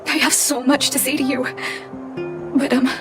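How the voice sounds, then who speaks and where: An actress playing an earnest, gentle and caring woman doctor in a video game. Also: high voice, little pitch movement, normal speed, close to an everyday voice. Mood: apologetic, earnest. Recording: music behind the voice. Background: music.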